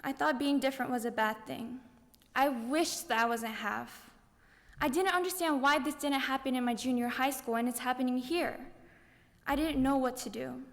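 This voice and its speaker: A woman's voice: high-pitched, moderate pace. A young woman from Japan giving an earnest, emphatic, rehearsed personal speech as a student contestant. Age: young adult. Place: large indoor hall at a speech contest. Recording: reverberant hall.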